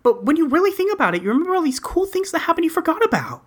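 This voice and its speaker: A woman's voice: very high-pitched, sing-song, rapid-fire. A woman voicing an earnest, rambling teenage boy in a webcomic dub, breezily recounting his adventures. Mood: earnest, friendly. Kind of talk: character dub reading of a webcomic.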